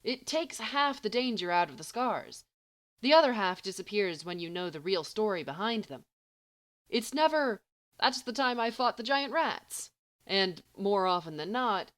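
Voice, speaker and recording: A woman reading a story as scripted narration, expressively and with deliberate, emphatic phrasing. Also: medium pitch, lively intonation, a bit bright. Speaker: audiobook reader of fan fiction.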